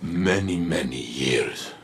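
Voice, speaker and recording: A man playing a solemn, resolute heroic warrior in a video game, speaking in weighty, deliberate phrases. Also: a bass voice, animated, vocal fry, a little hiss or hum, full character voice. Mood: solemn resolve. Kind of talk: video game character dialogue. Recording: game music behind the voice.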